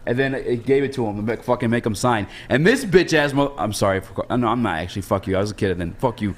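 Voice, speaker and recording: A young man in his twenties hosting a sports podcast, telling loose, profane stories in casual chat. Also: medium pitch. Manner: profane, fast storytelling, loud when excited. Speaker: podcast host.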